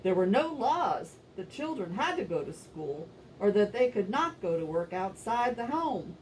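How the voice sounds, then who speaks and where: A woman performing scripted puppet show narration, telling things in an animated way for children, recorded at some distance from the microphone. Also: mid-range voice, measured pace. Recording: distant microphone, faint background noise.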